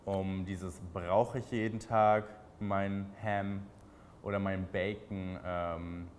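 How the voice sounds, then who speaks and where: A man in his twenties with a Berlin accent, answering interview questions in a relaxed, chatty way with many fillers. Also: low voice, slow, a little hiss or hum. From Berlin, Germany.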